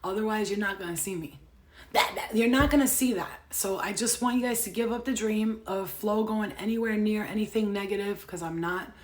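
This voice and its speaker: A woman in her late thirties, a tarot reader and spiritual healer, chatting casually to live-stream viewers in a brash, profane, animated way that swings between loud rants and soft, earnest asides. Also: medium pitch, a little fast. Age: 30s.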